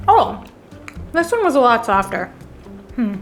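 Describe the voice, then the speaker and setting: A woman's voice: a little high, very animated, a little slow, soft background music. A woman hosting a food vlog, chatting and thinking aloud as she tastes each dish. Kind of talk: casual food tasting vlog.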